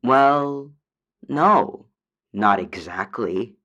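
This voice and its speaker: A woman's voice: extremely low pitch, lively intonation, extremely slow, a bit bright, lo-fi sound, full character voice. A woman voicing a meek, hesitant dryad calling a radio advice show in an audio drama, unsure and trailing off. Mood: defensive, tired. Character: hesitant, meek female dryad, homesick for her forest.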